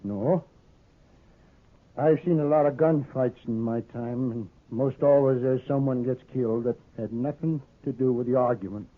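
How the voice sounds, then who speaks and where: An actor playing a weary, grimly determined old American farmer in a 1950s radio western, speaking plainly and haltingly. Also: male voice, medium pitch, close to an everyday voice. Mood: grimly resigned. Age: older. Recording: muffled, narrow-band old radio recording.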